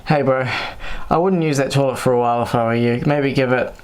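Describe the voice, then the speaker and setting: Man playing young male flatmate, easygoing, joking, casual, laid-back, audiobook character. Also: mid-range voice, a little fast, clear, resonant tone, close to an everyday voice.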